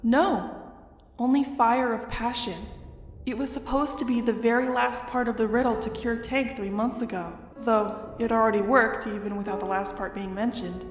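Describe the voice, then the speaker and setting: A woman's voice: a little high, steady tone, moderate pace, natural voice. A woman voicing a serene, motherly goddess in a fantasy audio drama, speaking formally and solemnly. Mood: calm explaining. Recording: echoey reverb with music behind.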